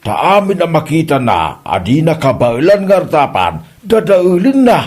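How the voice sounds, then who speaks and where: A man performs a disapproving village neighbour in a husky voice that swings about in pitch. He has an Ilocano accent and plays it as comedy drama. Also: sing-song, normal speed, darkish tone. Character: male neighbour hearing out village complaints, disapproving.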